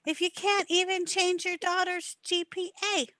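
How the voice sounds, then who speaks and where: Woman as whiny high-pitched mobster's daughter. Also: level tone, normal speed, sharp tone, no room sound. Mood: whiny, entitled. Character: whiny, entitled college-age mobster's daughter. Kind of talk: amateur old-time radio comedy play.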